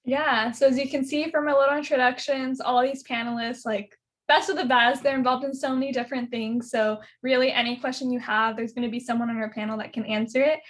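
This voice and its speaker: A woman's voice: high-pitched, fairly even intonation, fairly quick. A young woman moderating a virtual student panel over a video call, upbeat and casual with many fillers.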